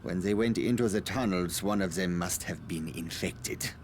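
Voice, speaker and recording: Video game scientist, played by a man: low voice, formal and precise, politely condescending, slippery, ambient noise underneath. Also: moderate pace, natural voice. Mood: grave warning. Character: evasive self-justifying male scientist, polite and condescending. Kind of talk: dramatic video game cutscene acting.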